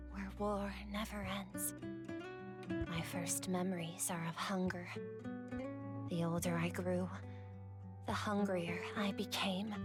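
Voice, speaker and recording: Woman acting slow-spoken, earnest young gardener girl. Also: medium pitch, close to an everyday voice. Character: gentle, earnest young gardener, devoted and brave. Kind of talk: video game voice acting. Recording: guitar music under the voice.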